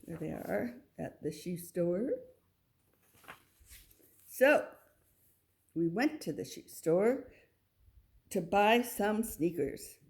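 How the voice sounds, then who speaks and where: A woman reading a children's picture book aloud, with expressive delivery and little asides to the listener. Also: fairly low pitch, drawn-out words, long pauses. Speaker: storybook reader.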